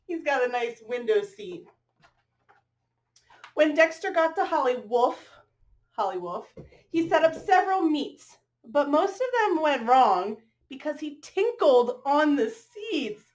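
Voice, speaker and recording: A woman reading a storybook aloud to young children, expressively drawing out phrases and pausing to ask them questions. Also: sits high, sing-song, a little mellow. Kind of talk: storybook read-aloud with asides to young listeners.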